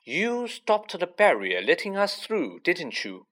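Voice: mid-range voice, huge pitch swings, slow, fairly bright tone, voice pushed up, heavily acted. A storybook reader voicing a young schoolboy hero, indignant and suspicious, with a blunt, accusing way of talking.

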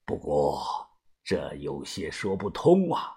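A man doing a low stern old gang boss. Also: wildly swooping pitch, slightly rough, full character voice. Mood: grave. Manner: low, quiet, measured. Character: older male gang leader, stern and brooding.

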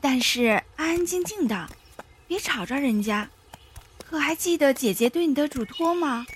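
A woman playing a gentle, soft-spoken young woman fleeing with a child, in a Chinese costume radio drama. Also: high voice, animated, normal speed. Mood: soothing. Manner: soft, soothing, polite. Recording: faint background noise, outdoor ambience.

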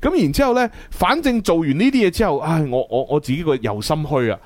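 A young man with a Cantonese accent, hosting a casual radio chat show in an animated, exclamatory way with frequent chuckles. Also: on the high side, quick pace, no room sound. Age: young adult.

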